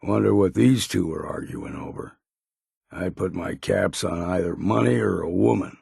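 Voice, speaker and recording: An actor playing a grizzled old hard-drinking islander in a video game, with a gravelly, husky voice and a wry, folksy drawl. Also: male voice, low voice, moderate pace, darkish tone, no echo, studio quality, heavily acted. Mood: wry, easygoing. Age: older. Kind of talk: video game character voice lines.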